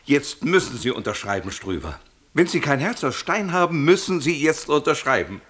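An effusive, animated company boss. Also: male voice, fairly high pitch, normal speed, husky, heavily acted. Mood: impressed, effusive. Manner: effusive, grandiose. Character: effusive West German sales boss, grandly patronising. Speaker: company superior. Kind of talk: radio play acting.

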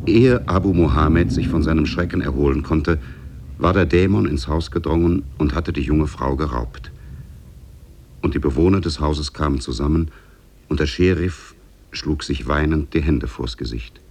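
A man as a solemn storyteller narrating a 1950s radio drama, gravelly and husky. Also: a bass voice, normal speed, darkish tone, a little hiss or hum. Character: solemn storyteller of Arabian Nights tales.

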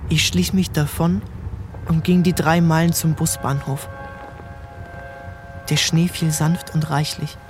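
A young actor narrating in first person as a troubled young man in a literary radio drama, calm and measured. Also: on the high side, over music, lightly acted. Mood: reflective. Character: troubled young man looking back on his youth.

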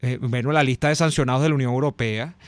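A man hosting a talk show in a studio, explaining the news in a measured way. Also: medium pitch, fairly even intonation, no echo. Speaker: host. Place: radio studio.

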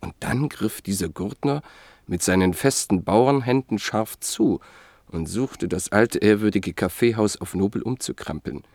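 A man narrating a radio play in a measured, solemn manner. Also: on the low side, animated, gravelly, a little mellow, no room sound, studio quality.

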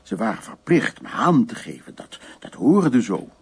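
An actor playing a cynical, worldly man who recounts his past in a wry, coarse radio drama monologue, with a raspy voice. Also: medium pitch, very animated, moderate pace, a little mellow, exaggerated voice. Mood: wry, reminiscing.

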